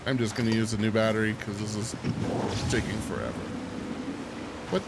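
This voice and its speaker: A man getting over a cold, sniffling as he plays a game and talks to himself, yelping at scares. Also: lowish voice. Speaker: gameplay streamer. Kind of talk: casual let's-play commentary. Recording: heavy hiss over the voice.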